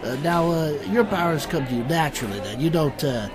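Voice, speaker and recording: A man's voice: on the high side, animated, a little fast, crowd noise, natural voice. Hesitant, stammering scholarly man played by an actor over music. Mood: curious, flustered. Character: bookish, awkward, scholarly male fantasy adventurer. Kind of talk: fantasy comedy audio drama acting. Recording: music under the voice.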